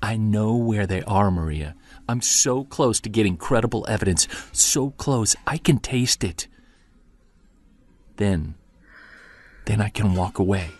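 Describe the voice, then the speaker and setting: A man's voice: fairly low pitch, expressive, a little slow, pauses often, a little mellow. A man playing an earnest, wry, self-deprecating amateur monster hunter in a naturalistic audio drama. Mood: intense. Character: driven, self-deprecating male amateur Bigfoot investigator.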